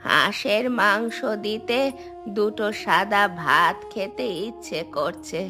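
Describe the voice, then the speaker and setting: An actress playing an ailing, resigned old village aunt in an audio drama, speaking plaintively. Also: female voice, medium pitch, takes it slowly, sharp tone, faint music behind. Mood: weary, plaintive. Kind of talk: acted audio story dialogue.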